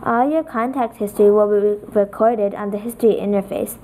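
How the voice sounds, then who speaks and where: A woman presenting a software tutorial, reading from a script as narration. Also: medium pitch, fairly even intonation, fairly quick, slight background noise, low-quality recording.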